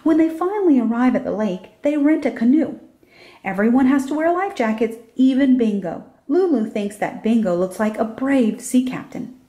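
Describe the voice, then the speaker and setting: A woman reads a children's picture book aloud. Her voice sits fairly high and has a soft, mellow tone suited to story narration. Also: expressive, moderate pace. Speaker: storybook reader.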